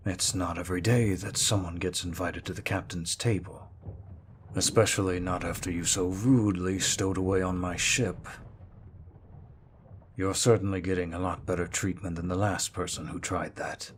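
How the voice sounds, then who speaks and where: A man's voice: deep voice, fairly even intonation, moderate pace, a little hiss or hum, close to an everyday voice. A man playing a refined, menacing pirate captain who speaks with cool, mock-courteous politeness in a second-person roleplay audio drama. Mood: suave, menacing.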